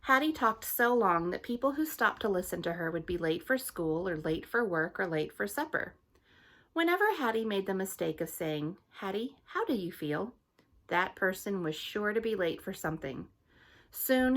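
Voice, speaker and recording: A teacher reading a picture book aloud to her students in a gentle, friendly storytelling manner. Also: mid-range voice, animated, moderate pace.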